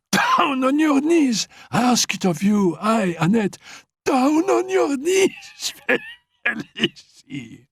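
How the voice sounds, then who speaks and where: A man's voice: huge pitch swings, extremely slow, a bit bright, exaggerated voice. A man voicing a coughing, feverish dying woman. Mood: feverish, defiant. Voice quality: coughing, breathless. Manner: high-pitched, laughing, pleading and commanding. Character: dying young woman, feverish, defiant, imperious. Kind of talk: audiobook character reading.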